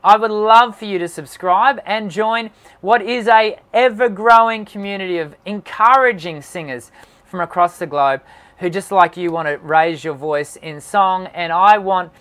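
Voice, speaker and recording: A man who hosts a singing channel, answering viewer questions on a live stream in an encouraging tone and often pausing with "um". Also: sits high, fairly bright tone. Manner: encouraging, talks with many "um" fillers. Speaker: singing teacher and channel host.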